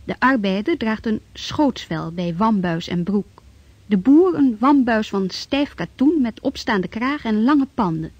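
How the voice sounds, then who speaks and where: A woman's voice: on the high side, animated, measured pace, a little mellow, no echo. A woman giving scripted narration for a radio drama, in a dry, slightly dull recording.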